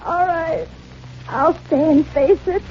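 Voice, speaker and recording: An actress playing a guilt-stricken, lovesick drama coach in a 1940s radio play, earnest, hesitant and melodramatic. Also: female voice, very high-pitched, takes it slowly. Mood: tearful resignation. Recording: muffled 1940s radio recording with noise.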